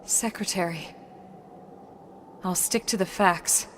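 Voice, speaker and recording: A woman's voice: on the low side, slow pace, noisy background. A woman voicing a composed, rational military officer in a video game, speaking in a clipped, matter-of-fact way. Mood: grave, focused. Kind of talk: video game cutscene voice acting.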